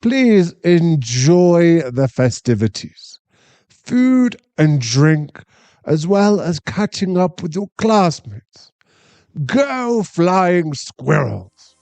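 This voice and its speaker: A man voicing a jokey school reunion announcer in an audio drama, with a drawn-out, showy delivery. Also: on the high side, sing-song, a little mellow, no echo, voice pushed up, exaggerated voice. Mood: jovial. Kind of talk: playful public announcement.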